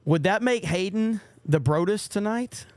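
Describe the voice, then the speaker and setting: A man's voice: sits high, animated, measured pace, darkish tone, no room sound, studio quality. A young man hosting a casual livestream, reading out viewer donations and cursing offhandedly. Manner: reads viewer donations aloud, swears casually. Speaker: livestream podcast host.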